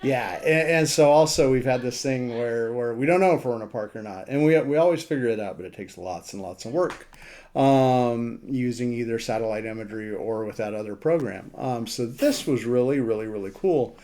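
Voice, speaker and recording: A man giving a casual screen walkthrough as a radio hobbyist, thinking aloud in a halting way. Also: medium pitch, a little mellow. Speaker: amateur radio operator presenting a map demo.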